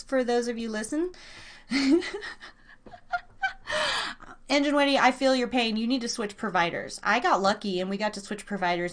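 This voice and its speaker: A woman hosting a live trivia game in a casual, chatty, excitable and playful way, drawing out words for emphasis and talking to her listeners. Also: mid-range voice.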